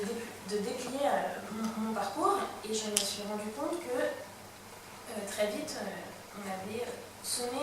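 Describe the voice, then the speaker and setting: A woman whose first language is Arabic, a stage director speaking thoughtfully on a panel and repeating words as she searches for them. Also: fairly high pitch. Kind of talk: reflective panel talk. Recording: echoey hall from far off, noisy.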